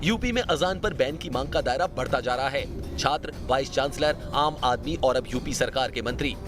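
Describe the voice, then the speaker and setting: A man's voice: mid-range voice, moderate pace. Male TV news narrator over music. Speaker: TV news reporter doing voice-over. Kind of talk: dramatic scripted news narration. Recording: music behind the voice. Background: news music bed.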